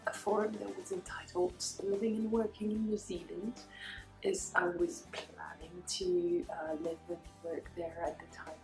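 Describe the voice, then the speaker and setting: Teacher lady, 30s, very slow, pauses a lot, low-ish voice, echoey. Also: gravelly, darkish tone. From France. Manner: thoughtful, many pauses. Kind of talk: casual interview answers. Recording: echoey room.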